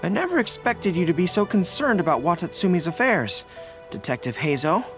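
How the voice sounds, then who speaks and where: An actor playing a polite young military general in a video game, speaking in a formal, courteous and earnest way. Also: male voice, high-pitched, very animated, a little fast, over music.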